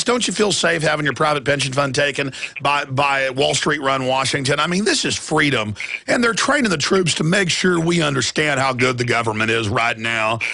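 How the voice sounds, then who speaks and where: A man's voice: on the high side, gravelly, bright, forceful tone, no room sound. A man hosting a talk radio show, sarcastic and combative, taking calls.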